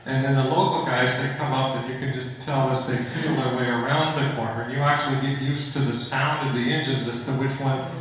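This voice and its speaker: An American man speaks as a local resident at a public hearing. His medium-pitched voice comes from some way off the mic in a strongly echoing hall. He is plainspoken and descriptive, talking off the cuff. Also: fairly even intonation, fairly quick, hardly any pauses, fairly bright tone. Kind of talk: unscripted public testimony. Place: large indoor hearing hall. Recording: distant mic, echoey hall, faint noise.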